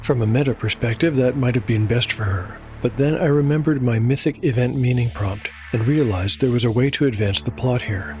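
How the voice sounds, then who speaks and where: A man narrating a dark fantasy tabletop audiodrama in a measured, deliberate, scripted storytelling style. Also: medium pitch, faint music behind.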